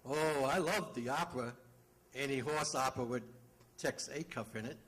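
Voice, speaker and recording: A man's voice: medium pitch, normal speed, a bit bright, close to an everyday voice, rough recording. An actor playing a blunt, plain-spoken police detective, a teasing man in 1940s radio drama style.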